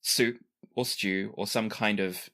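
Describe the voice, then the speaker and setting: A man's voice: lowish voice, fairly even intonation, slow pace, bright, forceful tone. A man narrating a tabletop game as game master, conversational and explanatory with chatty asides. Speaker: game master of a tabletop role-playing podcast.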